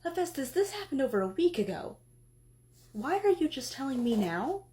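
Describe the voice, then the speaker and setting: A woman voicing an exasperated goddess who scolds her husband, swinging between sharp outbursts and weary pleading. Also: high-pitched, big pitch swings, moderate pace, slight background noise. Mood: incredulous. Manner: scolding, then pleading and tearful. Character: exasperated, emotional goddess wife.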